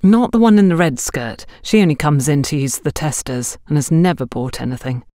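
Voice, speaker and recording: A woman voicing a brisk, disapproving female shop worker in an audiobook, curt and bossy. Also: deep voice, dark tone, no echo, clean studio sound, lightly acted.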